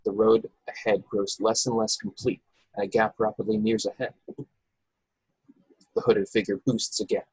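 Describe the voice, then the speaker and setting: A man's voice: lowish voice, little pitch movement, normal speed, long pauses, a little mellow, slightly rough, no echo, low-quality recording. A man reading screenplay action and scene headings as the narrator of an informal table read. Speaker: narrator reading stage directions.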